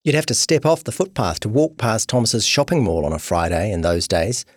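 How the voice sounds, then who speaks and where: A man's voice: fairly low pitch, sing-song, fairly quick, dry recording, clean studio sound. A man reading scripted documentary narration for a true crime podcast.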